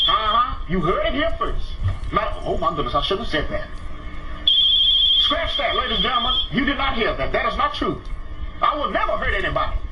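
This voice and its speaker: The high, swooping voice of a man presiding over a late-night public access call-in show, heard through heavy noise. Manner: theatrical, exasperated, drawn-out sing-song address with habitual "uh-huh". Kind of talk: live call-in show monologue, preacher-like address. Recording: roomy, very noisy old TV broadcast audio.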